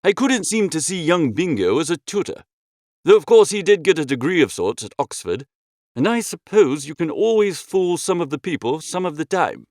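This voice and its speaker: A man narrating a comic first-person story in an expressive, breezy and theatrical way. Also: a little high, normal speed, no room sound, clean studio sound. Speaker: audiobook narrator.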